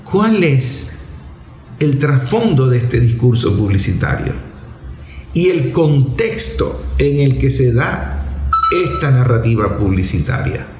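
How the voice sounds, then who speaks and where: A man's voice: mid-range voice, sing-song, slow, a little mellow. A man giving explanatory opinion commentary in a didactic, emphatic way, posing rhetorical questions. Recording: roomy, echoing voice recording.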